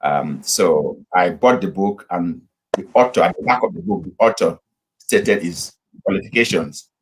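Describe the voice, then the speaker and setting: A middle-aged man from Nigeria speaks in a very low, husky voice. He tells his story at an easy pace, full of fillers and restarts. The call audio carries the light compression of a video chat. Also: poor audio. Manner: unhurried, with frequent fillers and restarts. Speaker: pastor and guest. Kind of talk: casual interview storytelling.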